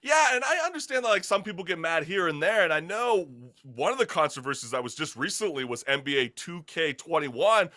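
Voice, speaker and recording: A man's voice: a little high, big pitch swings, brisk, few pauses, sharp tone. A man hosting a talk show in an opinionated, conversational way, asking emphatic rhetorical questions with casual slang and mild swearing.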